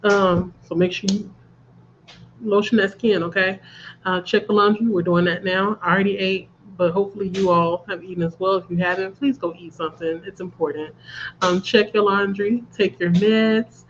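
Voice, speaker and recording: A woman's voice: medium pitch, steady tone, normal speed, dull audio, a little hiss or hum. A congested woman chatting casually as host of a reading livestream and laughing at her own jokes. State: congested from a cold. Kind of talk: casual chat. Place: at home.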